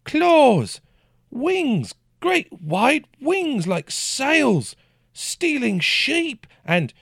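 A man voicing a frightened peasant headman who stammers and quavers, breaking into high panicked shouts. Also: huge pitch swings, slow, gravelly, dry recording, studio quality, heavily acted. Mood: shouting, defiant.

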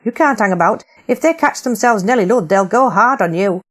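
A woman's voice: mid-range voice, animated, fairly quick, pitched above the performer's own voice, natural voice. A woman voicing a stern, insistent woman from a rebel band urging her leader to flee. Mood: urgent. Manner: urgent, insistent.

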